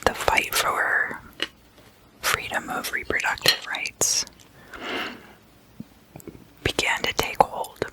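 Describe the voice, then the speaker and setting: A person speaking in a breathy, unvoiced whisper, hushed and conversational, like an ASMR host chatting at a sleepover. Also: poor audio. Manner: slow, hushed, casual and conversational. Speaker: host of an ASMR roleplay series.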